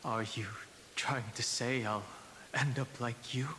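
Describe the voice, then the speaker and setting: A man playing a stoic, reserved young warrior in a video game, speaking in a serious, measured, terse way. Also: mid-range voice, steady tone, pushed and bright, slight background noise. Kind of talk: scripted video game drama.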